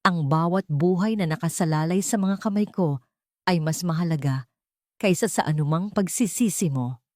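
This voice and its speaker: A woman voicing a dignified wronged wife and army colonel, cold, measured and commanding, in an audio story. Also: on the low side, a little mellow, no room sound, clean studio sound, natural voice. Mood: cold, resolute.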